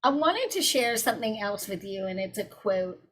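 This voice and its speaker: A woman's voice: medium pitch, lively intonation, moderate pace, slightly rough. Brief: woman, webinar presenter, direct conversational delivery over a video call. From South Carolina. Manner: warm, direct, addresses audience as y'all.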